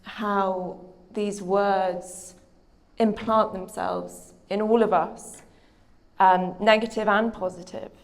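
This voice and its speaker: Young British woman, author, thoughtful, halting, fillers, middle pitch. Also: steady tone. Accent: British English. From Britain. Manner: thoughtful, hesitant, with frequent fillers. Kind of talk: reflective conversation on stage.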